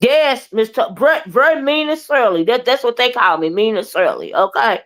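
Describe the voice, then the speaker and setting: Woman, loud and boisterous, blunt and profane, moody livestream ranter. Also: fairly high pitch, animated, moderate pace. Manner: blunt, profane, keeps asking "okay?" and "right?"; moody, confrontational. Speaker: live streamer and commentator. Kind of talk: ranting live commentary.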